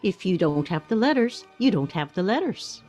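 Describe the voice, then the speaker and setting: An actress playing an exasperated but plain-spoken wife in a comedic radio play, with weary, matter-of-fact retorts. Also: female voice, mid-range voice, big pitch swings, moderate pace, a little mellow, faint music behind. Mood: wry.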